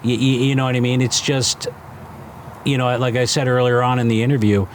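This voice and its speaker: A man in his fifties from New Jersey, a restaurant founder talking casually in a fireside interview, halting with fillers and restarts. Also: mid-range voice, little pitch movement, slight background noise. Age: 50s. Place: outdoors by a fire pit.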